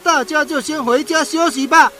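A woman playing a calm, fair-minded tree frog captain in a children's radio drama. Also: high-pitched, normal speed, loud music behind the voice, lightly acted. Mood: reassuring.

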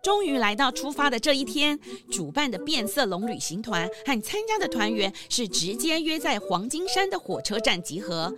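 語調生動的女性說書人. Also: female voice, a little high, very animated, moderate pace, few pauses. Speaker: narrator. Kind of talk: scripted children's story narration.

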